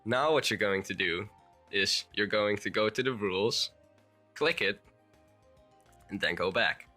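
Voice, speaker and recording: Dutch-accented young man, bright voice. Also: fairly low pitch, animated, unhurried, soft background music. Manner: rambling, hesitant with restarts. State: sick. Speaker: YouTuber. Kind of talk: game tutorial voice-over.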